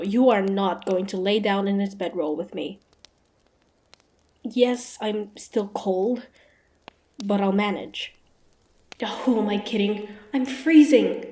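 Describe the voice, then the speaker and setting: A woman's voice: mid-range voice, dark tone, close to an everyday voice. A woman playing a proud, suspicious young female hunter in a fantasy audio roleplay, guarded and easily flustered, with a grudging tsundere streak.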